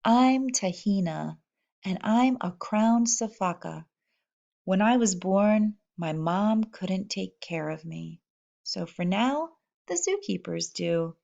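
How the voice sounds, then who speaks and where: A woman reading a children's picture book aloud in an expressive manner, voicing animal characters in the first person. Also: mid-range voice, slow pace, vocal fry, dull audio. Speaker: storybook reader.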